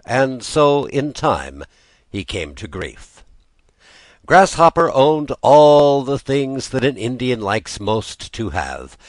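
A man's voice: lowish voice, no echo. An American man reading a children's folk tale as a storybook narrator in an audiobook style. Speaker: volunteer audiobook reader.

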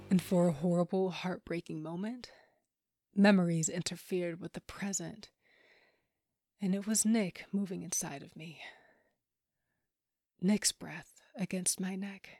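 Audio drama lead: woman acting a bitter, grief-broken widowed monster hunter, low-pitched, intense, very dry close sound. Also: fairly even intonation, measured pace, long pauses, a little mellow, breathy edge, no room sound, soft background music. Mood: wounded, weary. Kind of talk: first-person audio drama narration with acted scenes.

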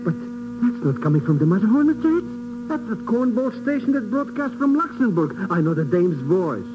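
An actor playing a cheeky, excitable small-time sidekick in a 1950s radio drama, stammering and speaking in colloquial, shaky grammar. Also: male voice, high-pitched, fairly quick, muffled recording, over music. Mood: puzzled, skeptical. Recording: old 1950s radio recording.

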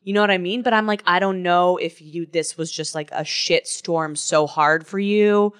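Podcast host, woman, low smooth voice, animated and profane. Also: moderate pace. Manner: animated storytelling with swearing. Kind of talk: casual chat.

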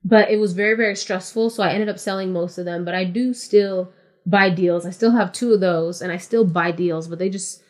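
A woman's voice: lowish voice, fairly even intonation, fairly quick. A woman from California, a podcast guest in casual interview chat, explaining things matter-of-factly and step by step with frequent "like".

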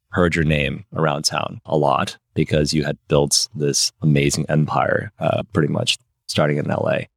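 A man from Michigan hosting a podcast, asking quick, eager questions in a casual interview. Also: a bass voice, no room sound, clean studio sound. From United States (Michigan). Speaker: podcast host.

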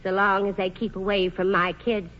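A woman's voice: medium pitch, flat delivery, normal speed, lightly acted. An actress playing a shrewd, plucky small-town mother in a radio play, speaking in a folksy, firm way. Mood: conciliatory. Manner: folksy, plain-spoken, firm. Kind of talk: 1940s radio play acting. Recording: muffled, noisy 1940s radio recording.

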